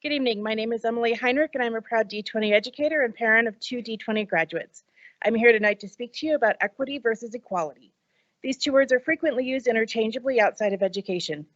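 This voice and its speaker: A woman's voice: a little high, no room sound. A middle-aged woman, an educator, reading an earnest prepared public comment at a podium microphone. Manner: earnest, measured, read from notes. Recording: dull lo-fi meeting audio.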